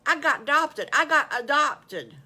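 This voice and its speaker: Woman as an eager, bright-voiced little boy wolf. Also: mid-range voice, unhurried. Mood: proud, thrilled. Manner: eager, childlike, repeating himself. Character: excitable young male wolf, sweet and well-behaved.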